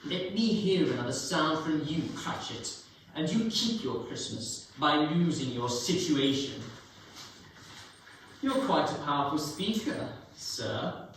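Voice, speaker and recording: A scornful old miser, acted by a man, speaks from far off in a very echoey hall. His voice sits on the high side, curt and sneering, as in a staged dramatic reading. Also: very animated, slow, a bit bright, heavily acted. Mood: curt, irritated. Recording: very echoey hall, distant mic, faint noise.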